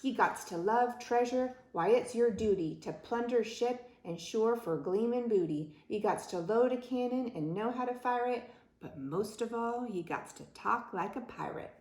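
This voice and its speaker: A woman's voice: fairly high pitch, animated, normal speed, few pauses, a little mellow, echoey room, close to an everyday voice, poor audio. A woman voicing a blustering, demanding male pirate captain in rolling pirate dialect, for a storybook.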